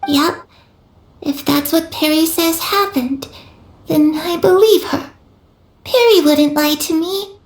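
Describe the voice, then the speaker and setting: A woman's voice: extremely high pitch, slow pace, pauses often, reverberant. A woman voicing a cheerful, trusting young girl ghost who talks earnestly and childlike in a game cutscene. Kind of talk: video game cutscene voice acting.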